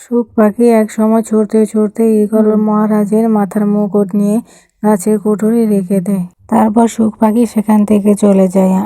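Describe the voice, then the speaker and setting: A woman's voice: medium pitch, dark tone, no echo, poor audio. A woman narrating a scripted children's fairytale as a storyteller.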